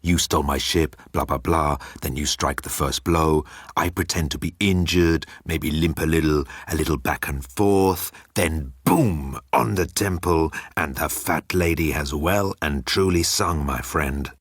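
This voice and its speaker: A vain thunder god voiced by a male narrator in a comic audiobook. He speaks in a deep, dry voice, chummy and self-important, like a showman minding his image. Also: measured pace, few pauses, husky, dry recording, exaggerated voice. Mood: coaxing, chummy.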